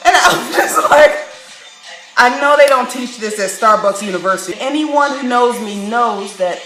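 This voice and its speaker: A woman from Chicago telling a story to camera in a candid, wry vlog, breaking into laughs and animated asides. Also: fairly high pitch, normal speed. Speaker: vlogger. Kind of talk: casual storytelling monologue. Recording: echoey room, faint background music.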